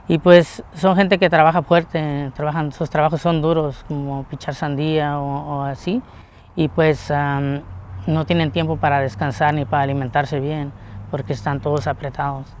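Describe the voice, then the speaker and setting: A middle-aged man from Mexico who works at a food plant, speaking plainly and haltingly in a documentary interview. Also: on the high side, little pitch movement, a little hiss or hum. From Mexico (Guanajuato). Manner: halting, plain-spoken, repeats words as he searches. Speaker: onion plant food-safety worker.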